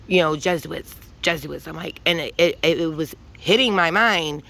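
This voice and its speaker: A woman's voice: low-pitched, normal speed, vocal fry, a bit bright. A woman giving an emphatic, profane spiritual monologue with many restarts, rambling and preachy. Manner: intense and preachy. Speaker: vlogger.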